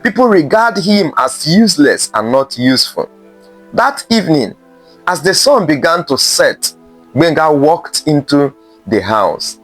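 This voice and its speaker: A man narrating a scripted folktale in a measured way, with dramatic pauses between short phrases. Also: fairly high pitch, big pitch swings, a bit bright, faint music behind. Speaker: storyteller.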